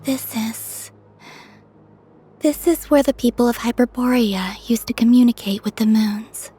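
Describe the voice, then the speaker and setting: An actress playing a gentle, wistful young moon maiden in a video game, speaking in a soft, earnest, delicate way. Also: female voice, a little high, unhurried, a little hiss or hum, close to an everyday voice. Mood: wistful, hushed. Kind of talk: video game cutscene voice acting.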